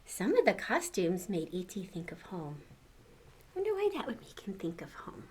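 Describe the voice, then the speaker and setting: A woman's voice: medium pitch, wildly swooping pitch, normal speed, a little mellow, a little hiss or hum. An older woman reading a storybook aloud to children, expressively, with exclamations and questions to the listener.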